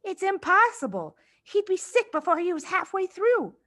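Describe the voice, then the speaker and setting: A woman voicing a young schoolgirl in a storybook, speaking in hushed, whispering tones. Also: extremely high pitch, sing-song, normal speed, pitched above the performer's own voice, full character voice. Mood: doubtful, hushed.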